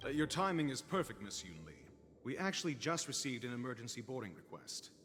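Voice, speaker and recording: A man's voice: medium pitch, sing-song, a little fast, fairly bright tone, soft background music. A man playing a polite, dutiful soldier in an anime game, speaking formally and articulately. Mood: polite, helpful. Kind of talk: scripted anime game voice acting.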